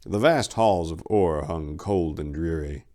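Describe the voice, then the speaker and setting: Low-pitched man, dramatic story narrator. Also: a little slow, mellow.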